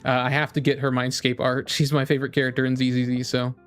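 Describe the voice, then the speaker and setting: Male game streamer, medium pitch, loose conversational delivery with repeated phrases, music behind. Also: little pitch movement, fast talker, a bit bright, smooth. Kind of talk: casual livestream chat.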